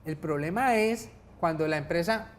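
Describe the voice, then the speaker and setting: A man's voice: on the high side, moderate pace, slight background noise. A man with a Colombian accent, a lawyer guest on a TV studio show, explaining pension cases patiently and checking in with his listeners.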